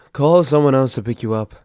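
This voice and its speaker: A man's voice: mid-range voice, normal speed, a little mellow, clear, resonant tone, no echo, studio quality, natural voice. A young man acting a grumpy, sarcastic but secretly caring roommate woken from sleep, grumbling and sighing in an intimate roleplay audio drama. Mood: sleepy, irritated. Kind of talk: intimate roleplay audio drama, one side of a phone call.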